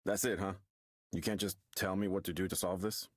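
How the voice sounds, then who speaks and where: A man's voice: deep voice, little pitch movement, fairly quick, no room sound, clean studio sound. An actor playing a bitter, withdrawn, weary man in a video game, speaking in a sullen, downbeat way. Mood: bitter, disappointed. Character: bitter, withdrawn, weary male counselling client. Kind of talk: naturalistic game voice acting. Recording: loud music behind the voice.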